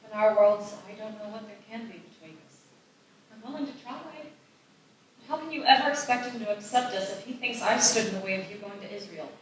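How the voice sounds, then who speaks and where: A woman playing a gentle, hopeful teenage girl in love at a live screenplay read. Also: medium pitch, brisk, lightly acted. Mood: tender, doubtful. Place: live festival stage reading in echoey room. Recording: very echoey room, distant mic.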